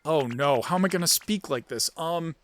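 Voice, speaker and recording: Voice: medium pitch, moderate pace, faint music behind. A gaming video creator giving casual gameplay commentary, thinking aloud in a self-critical way. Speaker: gaming video creator doing character voices.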